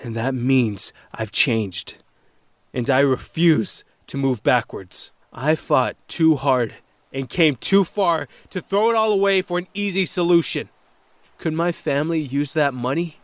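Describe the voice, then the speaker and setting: Earnest, high-voiced young male hero, acted by a man. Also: measured pace, no echo, natural voice. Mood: resolute. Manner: earnest, dramatic declarations. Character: earnest, determined young male fire-wielding superhero. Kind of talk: audio drama superhero acting.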